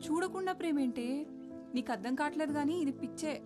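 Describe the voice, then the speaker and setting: Actress as scolding young friend, high-pitched woman, fast, incredulous, film drama. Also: natural voice. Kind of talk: naturalistic film acting. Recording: music bed under film dialogue.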